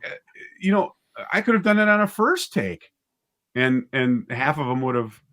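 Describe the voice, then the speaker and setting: A man's voice: mid-range voice, sing-song, a little slow, a bit bright. A man talking casually as an interview guest, a drama director telling anecdotes in a conversational way.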